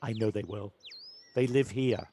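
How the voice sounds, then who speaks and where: A man's voice: fairly low pitch, unhurried, dark tone, close to an everyday voice. A man playing a wise, affectionate village handyman in an audio play, plain-spoken and reassuring. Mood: fond. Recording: amateur home recording with background noise.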